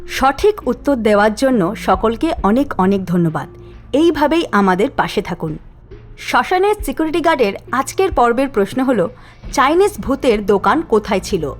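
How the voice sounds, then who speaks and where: A woman narrating a cartoon story and reading out listener names and a quiz question in a deliberate, scripted manner. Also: fairly high pitch, big pitch swings, faint music behind. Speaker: narrator and channel host.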